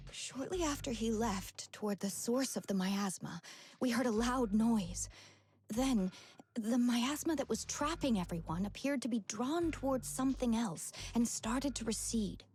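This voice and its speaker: A woman playing a gentle, worried senior temple disciple in a video game story, speaking with solemn, measured earnestness. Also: on the high side, slightly rough. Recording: game music behind the voice.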